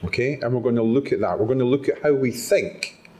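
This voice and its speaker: A man's voice: medium pitch, fairly quick, warm tone. A man from Scotland with a Scottish accent, giving a motivational self-care talk to a live audience at a patient summit and often checking in with rhetorical questions. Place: conference room with a live audience.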